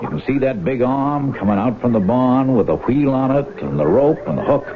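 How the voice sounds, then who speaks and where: Old muffled radio show, actor doing a creepy obsessive country farm guy, deepish, pushy and folksy, very animated pitch. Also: a little fast, background noise, lightly acted. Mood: grim, insistent. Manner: folksy, insistent, brooding. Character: menacing, obsessive older farm brother, secretly murderous. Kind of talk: 1970s radio drama acting. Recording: muffled 1970s radio broadcast, music behind.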